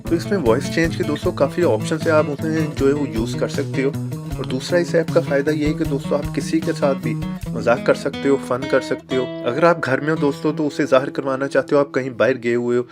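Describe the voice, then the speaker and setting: A man's voice: medium pitch, fairly even intonation, fairly quick. A man hosting a casual app tutorial, talking to viewers as friends and using frequent filler phrases. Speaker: host of a tech tips channel. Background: guitar music.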